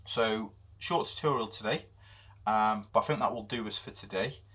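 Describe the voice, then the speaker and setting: A man with a deep voice giving an unscripted music theory lesson, with many pauses and fillers. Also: fairly bright tone, breathy edge. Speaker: music teacher.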